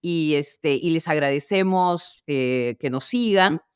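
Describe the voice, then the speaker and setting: A woman hosting a history interview, speaking off the cuff in a measured, formal, academic way. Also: low voice, clear, resonant tone, no room sound, clean studio sound. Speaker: host. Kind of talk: history interview hosting, unscripted closing remarks.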